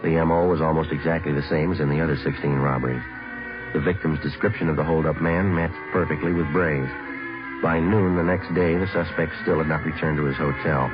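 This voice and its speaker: An actor with an American accent playing a terse police detective who narrates in a clipped, deadpan way in an old radio drama. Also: male voice, a bass voice. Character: terse, businesslike male police sergeant detective. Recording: dull old radio recording, music behind.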